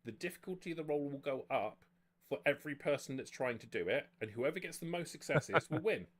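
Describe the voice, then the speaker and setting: A man working as game master, narrating and ruling on a tabletop game in a halting way, often repeating himself. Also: a little high, lively intonation, fairly bright tone, husky.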